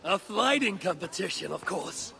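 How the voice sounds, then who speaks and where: A man's voice: high-pitched, expressive, moderate pace, gravelly. A man playing a proud, hot-headed warrior in a video game, with terse, dry banter. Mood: dry, challenging. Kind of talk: video game cutscene acting.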